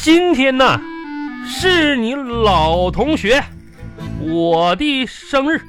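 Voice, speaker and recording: A man's voice: very high voice, big pitch swings, extremely slow, exaggerated voice. A man playing an awkward, broke but boastful office suitor in a radio comedy, stammering and rambling in a Northeastern Chinese accent. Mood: pleading, defensive. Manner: stammering, rambling, repeats words. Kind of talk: radio comedy sketch acting. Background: music.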